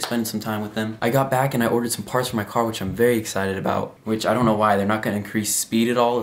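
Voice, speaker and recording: A man's voice: fairly low pitch, slight background noise. A young adult man chatting casually to his vlog audience in an informal, slangy way. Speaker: vlogger. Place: rooms at home, car, outdoors.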